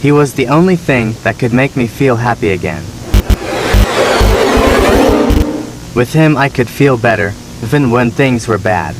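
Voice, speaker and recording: A man playing a neglected, resentful younger son, telling his story as measured, plaintive scripted horror narration. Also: mid-range voice, expressive, background noise, close to an everyday voice. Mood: bitter, sad.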